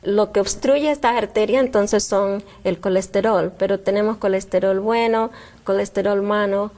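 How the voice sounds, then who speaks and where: A woman's voice: mid-range voice, fairly even intonation, moderate pace, darkish tone. A woman with a Panamanian Spanish accent, hosting and interviewing a guest on a health program. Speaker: host.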